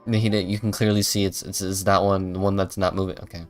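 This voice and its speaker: A man's voice: low-pitched, flat delivery, fast talker, music in the background. A man giving casual, playful, bemused livestream commentary as he plays a game. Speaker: game streamer.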